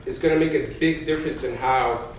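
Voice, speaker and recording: A man's voice: mid-range voice, a little fast. Man telling stories in an echoey hall. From Puerto Rico. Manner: energetic storytelling, drops in Spanish phrases. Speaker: news executive on a panel. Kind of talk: conference panel talk. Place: large conference hall. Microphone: distant mic in an echoey hall. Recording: echoey hall recording from far off, faint noise.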